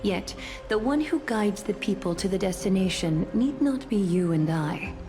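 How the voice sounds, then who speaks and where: An actress playing a serene, cryptic and regal seer in a fantasy game, with a formal, poised delivery. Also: female voice, mid-range voice, lively intonation, moderate pace, lightly acted. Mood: prophetic. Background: background music.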